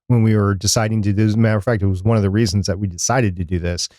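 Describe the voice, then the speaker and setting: A man's voice: fairly low pitch, steady tone, rapid-fire, warm tone, no echo. Man chatting casually, close and dry. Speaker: podcast co-host. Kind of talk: casual chat about podcasting.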